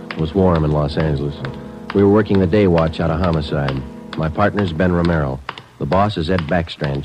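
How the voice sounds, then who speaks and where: An American man acting a stoic detective sergeant in a 1940s radio crime drama, terse, clipped and deadpan. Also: sits low, close to an everyday voice. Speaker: lead actor and narrator. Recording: old radio recording with music behind.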